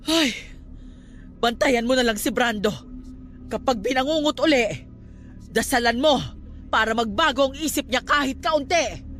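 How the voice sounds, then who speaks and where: An actress playing a stern, superstitious middle-aged aunt in a radio drama, scolding and emphatic. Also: female voice, sits high, normal speed, pauses often, fairly bright tone, faint music behind.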